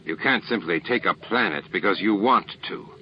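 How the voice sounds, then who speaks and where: A man voicing a gruff, indignant alien officer in an audiobook, snapping and growling. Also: on the low side, moderate pace, highs cut off, a little hiss or hum, close to an everyday voice. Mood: angry.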